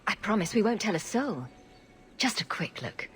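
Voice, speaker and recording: A woman playing a diplomatic, polite noble heroine in a fantasy game, courteous and measured. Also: mid-range voice, very animated, soft background music, natural voice. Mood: reassuring. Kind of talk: fantasy game dialogue acting.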